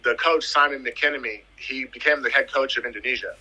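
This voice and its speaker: A man's voice: medium pitch, fairly quick, bright, forceful tone, rough recording. A man in his thirties from the US Virgin Islands, a footballer telling his career story in a casual interview, emphatic and fond of repeating phrases. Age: 30s. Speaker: footballer guest.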